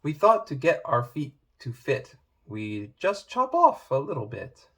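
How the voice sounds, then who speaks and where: Male children's storybook narrator: expressive rhyme reading, unhurried, mid pitch with lively movement. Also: slightly rough. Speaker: storybook reader. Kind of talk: scripted children's rhyming read-aloud.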